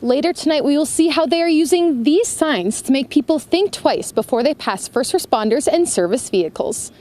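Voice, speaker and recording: American woman reporter with higher voice. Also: animated, moderate pace, a little mellow. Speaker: news reporter. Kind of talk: scripted news report narration.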